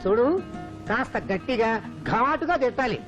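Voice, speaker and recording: An actor playing a secretive, demanding client with a grudge in a film comedy. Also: male voice, very high-pitched, animated, normal speed, a bit bright. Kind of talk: Telugu film comedy acting. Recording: muffled film audio with music.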